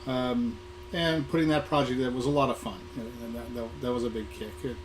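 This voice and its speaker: Hoarse, halting American man over background noise. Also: medium pitch, fairly even intonation. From Southern California. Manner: halting, with repeated words and long hesitations. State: hoarse, getting over an illness. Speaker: guest speaker, museum programming manager. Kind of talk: informal career talk, reminiscing. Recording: noisy live recording with room ambience.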